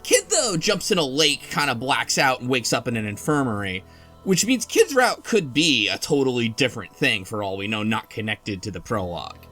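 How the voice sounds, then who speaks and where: A man's voice: mid-range voice, sing-song, moderate pace, sharp tone, soft background music. A man talking casually in a book club discussion, speculating and thinking aloud as he goes. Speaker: podcast host.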